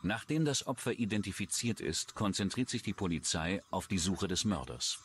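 Male narrator, standard German, very low voice, true-crime documentary script, noisy ambience behind. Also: lively intonation, normal speed.

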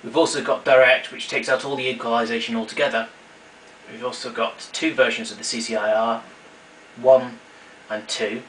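A man's voice: mid-range voice, flat delivery, normal speed. Man demonstrating equipment, noisy recording. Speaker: tape recorder collector giving a demonstration. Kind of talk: informal hands-on equipment demonstration. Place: small domestic room indoors. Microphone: camera mic a short distance away. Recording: noisy amateur room recording. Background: clear background noise.

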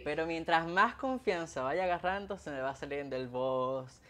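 A man's voice: a little high, lively intonation, moderate pace, fairly bright tone. A Venezuelan man hosting a casual interview, chatty and playful, wearing headphones. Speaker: host. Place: home room. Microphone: headphones with a mic.

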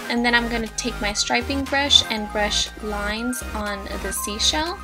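Upbeat young woman doing a diy tutorial, slow and higher voice, music behind her. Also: rough recording. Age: young adult. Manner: bubbly, upbeat, step-by-step instructions. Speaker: DIY vlogger. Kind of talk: casual tutorial voice-over. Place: indoors in front of a brick wall. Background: background music.

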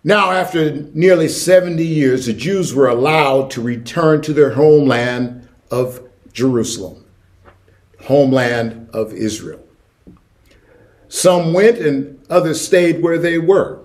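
A middle-aged American man delivers a sermon as a church pastor. His voice sits a little higher than most men's, and he leans on key phrases, repeating them for emphasis. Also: slow, darkish tone. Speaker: senior pastor. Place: church sanctuary, indoors.

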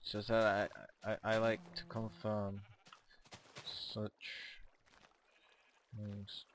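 A man with a hoarse, worn voice, talking a little lower than most. He chats casually during co-op gameplay, trailing off and repeating words. Faint hiss sits under the recording. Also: monotone, takes it slowly. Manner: hesitant, stumbling over words. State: hoarse, losing his voice. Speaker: co-op game player.

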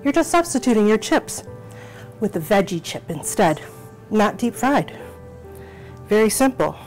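Slow, step-by-step woman cooking host. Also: medium pitch, big pitch swings, faint music behind. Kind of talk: home cooking demonstration. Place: small home kitchen.

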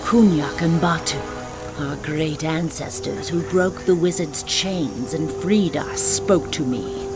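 Slow, grave orc shaman woman. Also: sits low. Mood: solemn, prophetic. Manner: grave, deliberate, oracular. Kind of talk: scripted dramatic game narration. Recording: loud music under the voice. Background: loud game score.

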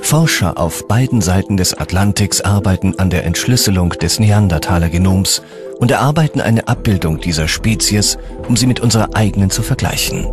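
A man reading scripted documentary narration as the narrator. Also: lowish voice. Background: background music.

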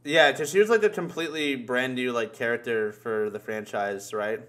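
A man's voice: medium pitch, normal speed, a bit bright. A man chatting casually on a podcast over a video call, opinionated and freely swearing as he rants. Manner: opinionated, profane ranting. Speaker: podcast participant. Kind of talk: casual chat.

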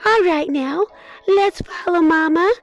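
A gentle storybook mother hen, played by a woman, speaks very high and unhurriedly. She coos and dotes, drawing out her words to encourage her little ones. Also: dry recording, soft background music. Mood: gently instructing. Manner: cooing, encouraging, drawn-out delivery. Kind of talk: children's storybook character voice.

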